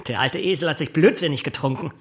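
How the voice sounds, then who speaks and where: An actor playing a scheming, spiteful swindler in a German radio play, sneering and insolent in manner. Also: male voice, on the high side, fast talker, lightly acted. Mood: angry, cornered. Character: scheming, spiteful male swindler clerk, cornered and contemptuous.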